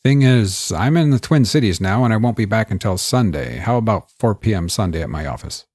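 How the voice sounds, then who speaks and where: A man voicing a businesslike, accommodating male attorney with a brisk, matter-of-fact manner in a crime audiobook. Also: fairly low pitch, lively intonation, a little mellow, breathy edge, no room sound, studio quality. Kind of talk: acted dialogue in crime audiobook.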